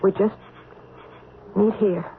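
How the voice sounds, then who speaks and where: Insistent secret lover, tinny radio. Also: female voice, medium pitch, steady tone, takes it slowly. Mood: reflective. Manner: insistent, reasoning, reassuring. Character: reassuring but exasperated woman, senator's secret lover. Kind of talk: old-time radio drama acting. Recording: noisy, tinny old radio broadcast.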